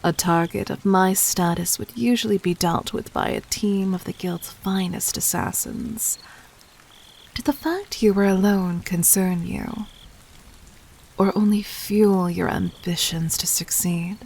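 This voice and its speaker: Woman acting a commanding demon queen, very slow, creaky edge, formal, intimate, cunning, gently dominant, roleplay audio. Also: medium pitch. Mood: poised, knowing. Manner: measured, formal, poised, intimate, slipping into breathy near-whispers. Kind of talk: scripted roleplay audio drama addressed to the listener.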